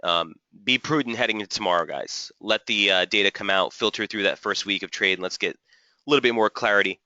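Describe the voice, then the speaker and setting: Slightly hoarse man hosting a trading webinar. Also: on the low side, fairly quick, bright, forceful tone, no echo, dull audio. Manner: talks through charts while answering typed viewer questions. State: slightly hoarse, losing his voice. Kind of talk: live market analysis webinar.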